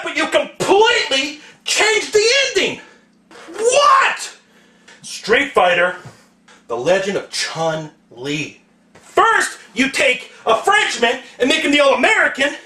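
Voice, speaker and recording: A man hosting a profane comedic rant in an exasperated, emphatic, shouting manner. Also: extremely high pitch. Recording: echoey room, distant microphone.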